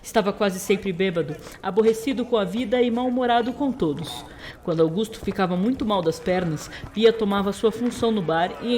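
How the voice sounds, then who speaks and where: A woman's voice: medium pitch, a little mellow. A woman narrating a scripted audio drama as its storyteller.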